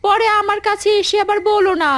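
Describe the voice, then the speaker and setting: A woman's voice: very high voice, level tone, brisk, a bit bright. A woman playing a practical, admonishing village wife and mother in a cartoon family drama. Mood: exasperated, scolding.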